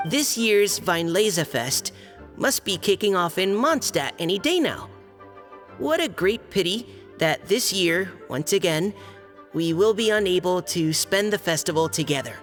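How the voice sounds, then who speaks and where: A man's voice: sits high, expressive, unhurried, a bit bright. A man voicing a shy, earnest young knight in a video game, speaking with careful, polite hesitancy. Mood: formal, measured. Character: shy, earnest young male knight reading aloud a letter. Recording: long hall reverb. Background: music.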